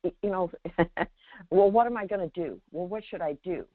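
A woman guiding callers conversationally as a spiritual teacher, speaking emphatically and haltingly, heard over a telephone. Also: lowish voice, dry recording. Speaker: spiritual teacher on a call-in show. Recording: thin, narrow phone-line sound.

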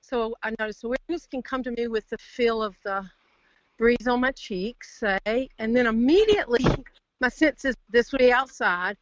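A woman calling in to share casual reflections, halting and searching for words, over a phone line. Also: a little high, no room sound. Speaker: caller to a group call. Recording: dull dry phone line.

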